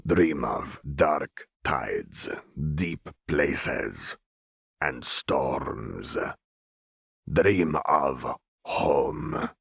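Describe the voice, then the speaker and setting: A man voicing a huge, calm male fish-folk cook who speaks in halting, broken phrases with a rumbling voice. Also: no room sound, clean studio sound, full character voice. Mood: calm, cryptic. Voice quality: rumbling, gravelly.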